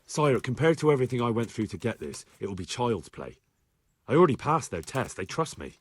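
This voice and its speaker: An actor playing an earnest, respectful young peasant hero who goes undercover as a spy in a video game. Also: male voice, medium pitch, sing-song, brisk, breathy edge, dry recording. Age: young adult.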